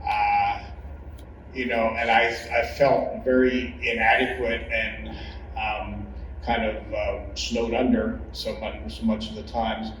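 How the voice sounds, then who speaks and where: A mature man speaks slowly in a fairly low voice, humbly reflecting as a former church leader with many hesitant pauses. He sounds distant in an echoey room with noticeable noise. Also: flat delivery, a bit bright. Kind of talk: reflective personal testimony.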